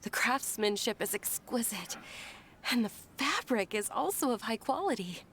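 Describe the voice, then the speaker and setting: An actress playing a polite, tender-hearted young noble lady in a video game, earnest and gentle in manner. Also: a little high, sing-song, normal speed, a little hiss or hum. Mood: cheerful. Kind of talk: scripted game dialogue. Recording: music under the voice.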